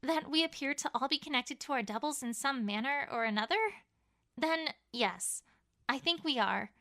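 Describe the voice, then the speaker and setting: A woman's voice: high-pitched, normal speed, sharp tone. A woman voicing an anxious, bookish young female pony in a dramatised story, with a stammering, dramatic manner. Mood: grim, resigned. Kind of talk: dramatised fan fiction reading.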